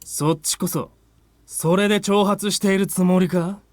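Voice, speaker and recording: An actor plays a terse young fighter from a visual novel. The delivery is rapid, curt and dramatic, with a defiant edge and a medium pitch. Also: steady tone, no room sound, close to an everyday voice. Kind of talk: Japanese game voice acting.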